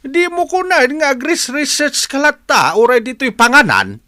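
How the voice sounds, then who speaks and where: A strict farmer dad in an Ilocano radio drama. He grumbles and nags in a bright, forceful voice that jumps about in exasperation. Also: very high voice, big pitch swings, moderate pace, full character voice. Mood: exasperated. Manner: nagging, exasperated.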